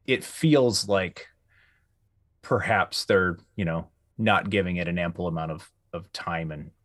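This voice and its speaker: Low-voiced man, tentative and casual. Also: a little slow, fairly bright tone, dry recording, studio quality. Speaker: podcast host. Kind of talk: casual panel review chat.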